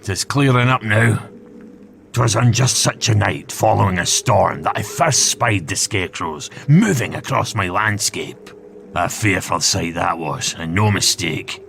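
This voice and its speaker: A man's voice: on the low side, expressive, measured pace, gravelly, fairly bright tone, a little hiss or hum, heavily acted. A man voicing a fearful, superstitious farmer with old-fashioned rustic talk in a comic dub. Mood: uneasy.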